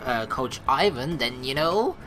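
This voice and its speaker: Chatty slangy gamer woman, pretty low voice, really animated, faint music behind. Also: a little slow, rough recording. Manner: chatty, slangy, expressive reactions. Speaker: let's play host. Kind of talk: gaming commentary, reading story text aloud.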